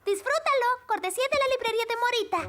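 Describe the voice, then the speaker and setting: Super high excitable cartoon girl, emphatic and dramatic, music blaring behind. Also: fast. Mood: dramatic, enthusiastic. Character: excitable young girl bookshop owner, mystery fan. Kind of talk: animated cartoon dub acting.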